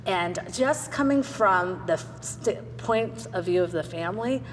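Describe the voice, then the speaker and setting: A woman's voice: fairly low pitch, animated, unhurried. A woman chatting casually as the co-host of a talk show. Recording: echoey hall, noisy background.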